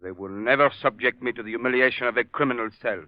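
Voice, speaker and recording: A man's voice: medium pitch, quick pace, no echo, close to an everyday voice. Man acting a proud, embittered scientist, tinny old radio. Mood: bitter, grandiose. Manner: grandiose, formal, theatrical delivery. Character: proud, embittered evil scientist doctor bent on self-destruction. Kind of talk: 1930s radio drama acting. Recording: muffled, tinny 1930s radio recording.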